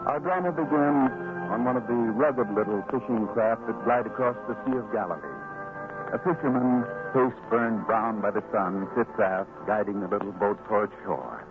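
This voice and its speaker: A man narrating an old radio drama as announcer, in a solemn, formal, measured manner. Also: fairly low pitch. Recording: muffled old radio broadcast with music. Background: music.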